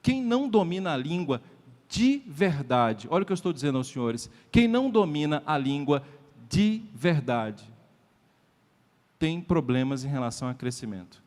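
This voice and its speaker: A man's voice: on the high side, big pitch swings, normal speed, a little mellow. A man with a Brazilian accent giving a motivational lecture at a sales event, emphatic, repeating key lines and putting rhetorical questions to the audience. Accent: Brazilian Portuguese. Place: event hall before a live audience. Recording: reverberant hall sound.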